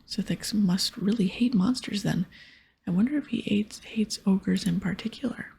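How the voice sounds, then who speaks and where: A woman softly whispering an ASMR video game playthrough, mixing hushed, unhurried reading with casual asides. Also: mid-range voice. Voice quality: breathy, soft whisper. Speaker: streamer reading game dialogue aloud.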